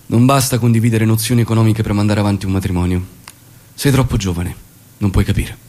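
An actor playing an earnest, reserved guerrilla leader turned minister, speaking in a measured, didactic stage manner with music behind him. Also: male voice, fairly low pitch, fairly even intonation, dark tone, lightly acted. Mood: guarded. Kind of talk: Italian stage drama acting.

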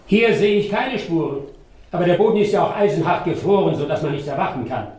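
In a roomy, echoing space, a man acts an observant detective for a radio play. He is brisk and analytical, with a somewhat high voice. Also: lively intonation, darkish tone, close to an everyday voice. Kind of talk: German radio drama acting. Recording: roomy echo, faint music.

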